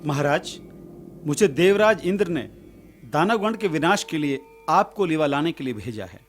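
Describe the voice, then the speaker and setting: Dignified divine envoy, a man acting, fairly high-voiced. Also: lively intonation, slight background noise, close to an everyday voice. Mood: formal, respectful. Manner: formal, measured, respectful. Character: dignified, deferential male divine charioteer and envoy. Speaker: actor in a radio play. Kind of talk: formal theatrical radio drama acting.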